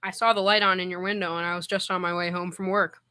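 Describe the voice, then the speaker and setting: Swaggering radio comedy boyfriend woman performer: boastful, slangy, rapid, bright delivery. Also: fairly low pitch, level tone, smooth. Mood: breezy. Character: cocky, boastful tough-guy boyfriend Al. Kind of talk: 1940s radio comedy acting.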